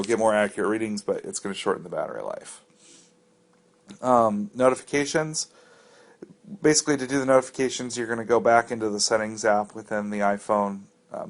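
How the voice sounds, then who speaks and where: Casting: American male reviewer with a fairly low voice, walking through software off the cuff, plain-spoken and explanatory. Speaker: app reviewer giving a walkthrough. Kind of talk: casual unscripted tutorial narration.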